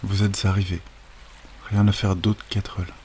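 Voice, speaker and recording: A man guiding a sleep meditation as a yoga nidra teacher, speaking calmly and soothingly with long, unhurried pauses. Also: sits low. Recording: steady wave noise over the voice. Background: sound of ocean waves.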